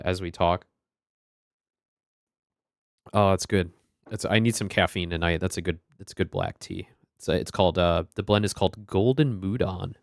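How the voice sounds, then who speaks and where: A man's voice: deep voice, smooth, no room sound, clean studio sound. A man streaming a retro game, chatting casually and greeting viewers by name.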